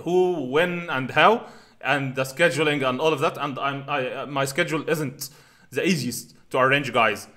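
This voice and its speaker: A man's voice: mid-range voice, sharp tone. A man in his thirties, a native Arabic speaker, chatting casually while answering viewer comments, rambling with many fillers and restarts, on a headset mic in a small room at home. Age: 30s. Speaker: channel host answering comments.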